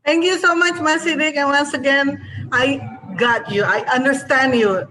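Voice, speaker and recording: A woman's voice: sits high, fairly even intonation, normal speed, sharp tone, low-quality recording. A woman from Indonesia hosting an online club meeting over a video call, cheerful and encouraging. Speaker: meeting host, Toastmaster of the day.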